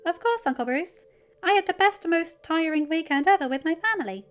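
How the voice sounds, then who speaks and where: A woman voicing an eager, affectionate and cheeky teenage superhero boy in an audiobook story, excitable and emphatic. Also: very high-pitched, a little fast, muffled recording, pitched above the performer's own voice. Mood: cheerful, affectionate.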